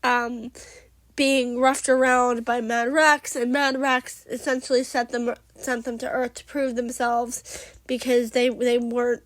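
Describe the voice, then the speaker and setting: High-voiced chatty woman with a cold. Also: level tone, a little slow, no echo. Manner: chatty, rambling, many fillers and restarts. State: sniffly from a cold. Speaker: podcast host. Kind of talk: casual solo recap podcast.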